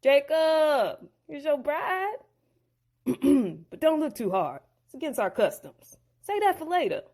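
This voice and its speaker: Woman putting on a sly older uncle, high and swoopy, smooth-talking, hmm-ing over every deal. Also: very animated, a little mellow, heavily acted. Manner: smooth-talking, slangy, drawn-out hums. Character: scheming older male uncle, sly dealmaker. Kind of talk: storybook audio drama.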